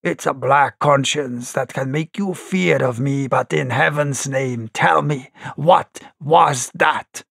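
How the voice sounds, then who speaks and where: A man voicing an honest, brave but shaken sailor with a hoarse, trembling voice and rough seaman's speech, in a storybook reading. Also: mid-range voice, slow, hardly any pauses, a bit bright, no room sound, clean studio sound, full character voice. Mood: fearful, demanding. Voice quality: hoarse, shaking voice. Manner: earnest, rough sailor's grammar.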